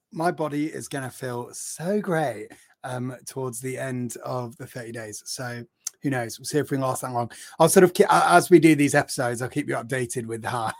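A man's voice: medium pitch, vocal fry. A man chatting casually on a podcast in a playful, rambling manner. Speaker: podcast panellist. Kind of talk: casual chat.

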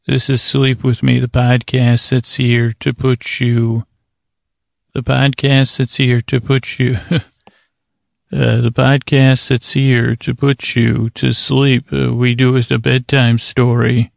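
Male bedtime podcast host, gravelly and flat in pitch, meandering and stammering, soothing, closely dry recording. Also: slow, no echo. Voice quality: creaky. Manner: meandering, stammering, self-interrupting; lulling, soothing. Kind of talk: rambling bedtime monologue.

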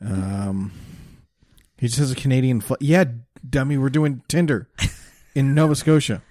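A man's voice: medium pitch, normal speed, warm tone, dry recording, clean studio sound. A man hosting a casual comedic chat, cracking sarcastic jokes as he goes. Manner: sarcastic, wisecracking.

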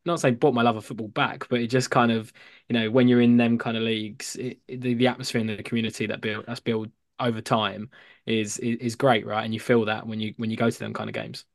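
A man's voice: medium pitch, little pitch movement, fast, vocal fry, dry recording, studio quality. A young English man hosting a podcast, chatting casually with a lot of hesitation and restarts. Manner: hesitant, many fillers and restarts. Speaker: podcast host. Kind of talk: casual chat.

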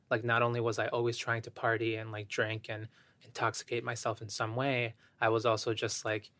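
A young man from Ecuador speaking as a podcast guest, soft-spoken and thoughtful, with many fillers as he reflects. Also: mid-range voice, no room sound. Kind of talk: reflective interview talk.

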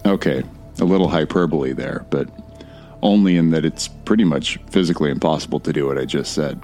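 A man acts out a wry male narrator in an audio drama with a very low voice. He rambles through his inner monologue and corrects himself as he goes, with faint music behind him. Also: a little fast, darkish tone. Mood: wry, puzzled. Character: wry man narrator exploring a smoke-filled house.

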